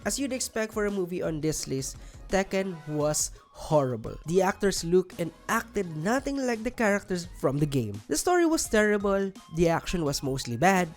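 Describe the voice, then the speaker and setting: A woman's voice: lowish voice, sing-song, moderate pace, darkish tone. A woman narrating scripted countdown commentary in an opinionated, sardonic, emphatic way. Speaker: video narrator. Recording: music bed under voice-over. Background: background music.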